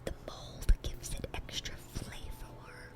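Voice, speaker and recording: A woman's voice: slow pace, lightly acted. A woman playing a dorky, affectionate young demon girl in a whispered ASMR roleplay, rambling and repeating herself eagerly. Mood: gushing, affectionate.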